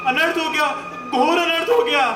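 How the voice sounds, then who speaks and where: A man's voice: very high-pitched, moderate pace. A man playing an alarmed, deferential palace gatekeeper in a theatrical mythological drama. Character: alarmed palace gatekeeper bringing grim news. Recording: echoey playback with loud music.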